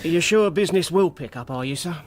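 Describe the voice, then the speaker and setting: An actor as a flustered male police sergeant with a high voice, full of hesitation and apology. He is dutiful and bumbling, in radio drama style, over clearly audible background noise. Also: expressive, fast, close to an everyday voice. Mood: doubtful, deferential. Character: flustered, deferential police sergeant moonlighting as assistant. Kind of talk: British radio comedy drama acting.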